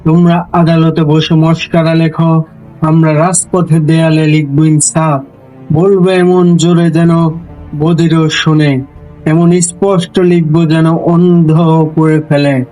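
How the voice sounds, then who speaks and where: A man giving a solemn, dramatic poetry recitation in a measured, declamatory manner with deliberate pauses. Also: fairly high pitch, level tone, mellow, rough recording. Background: background music.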